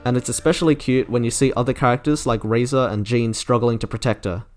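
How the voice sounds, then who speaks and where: A man with a mid-pitched voice narrates a scripted video essay. He is articulate and enthusiastic, stringing out long descriptive sentences while faint music plays underneath. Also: fairly even intonation, fast talker. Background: music playing under the narration.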